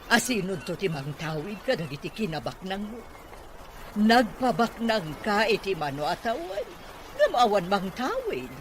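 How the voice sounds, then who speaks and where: A woman's voice: medium pitch, sing-song, a little slow, slight background noise. A woman playing a nagging older mother who presses her son, in an audio drama. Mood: nagging, insistent. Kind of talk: Ilocano audio drama acting.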